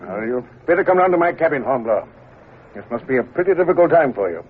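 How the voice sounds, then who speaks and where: An actor playing a commanding senior naval captain who talks warmly and briskly. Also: male voice, medium pitch, very animated, slightly rough. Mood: hearty. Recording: tinny, hissy old radio broadcast.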